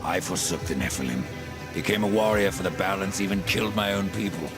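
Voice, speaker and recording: An actor playing a stern, weary, distrustful supernatural warrior in a fantasy video game, speaking tersely. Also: male voice, low-pitched, brisk, over loud music, natural voice. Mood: bitter.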